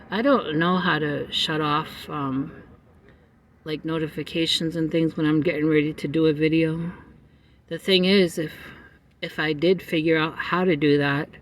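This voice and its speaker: A middle-aged man talks from a parked car in a loose, wandering way, pausing often. His voice sits a little high for a man. The phone mic is a bit distant, with faint ambient noise behind him. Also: fairly bright tone. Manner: chatty, meandering, frequent um pauses. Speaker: vlogger. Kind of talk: casual rambling chat to viewers. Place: parked car in a parking lot.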